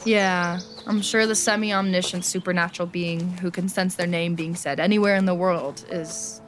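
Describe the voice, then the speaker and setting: Female actor, slightly low voice, deadpan sarcastic sidekick in audio drama, soft music underneath. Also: normal speed, lightly acted. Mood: wry. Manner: deadpan, sardonic. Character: Amber, dry, sarcastic, loyal traveling companion.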